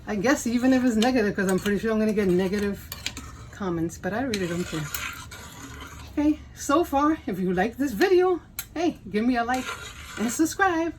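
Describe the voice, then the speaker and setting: A woman's voice: a little high, animated, normal speed, background noise. A woman in her fifties with a Puerto Rican accent hosts a casual home cooking vlog, chatty and self-deprecating, laughing often as she cooks in her kitchen. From Puerto Rico. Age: 50s. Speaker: cooking channel host.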